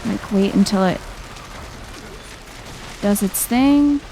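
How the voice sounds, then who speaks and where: Woman, soft-spoken ASMR game commentary, slow pace, light vocal fry, chill, faint game noise behind. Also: rough recording. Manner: chill, low-key, thinking out loud. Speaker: video game streamer.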